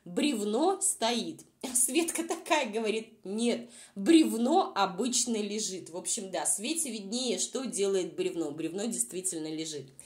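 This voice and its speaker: Sarcastic woman commentator with swooping intonation. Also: medium pitch. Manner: sarcastic, exasperated. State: unwell, says voice is nearly gone. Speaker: vlog reviewer and commentator. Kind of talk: informal mocking commentary over video.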